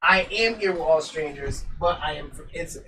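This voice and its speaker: A man's voice: fairly high pitch, normal speed. Male podcast guest, twenties, slangy storyteller, distant mic with heavy background noise. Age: 20s. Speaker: podcast guest, content creator. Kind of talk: casual banter. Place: inside a bus. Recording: distant mics, loud bus noise.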